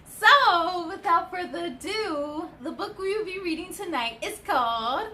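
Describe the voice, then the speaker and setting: A woman's voice: extremely high pitch, expressive, measured pace, pushed and bright, a little hiss or hum. A woman hosting a children's read-aloud, enthusiastic and speaking straight to young listeners, with storybook reading and chatty asides.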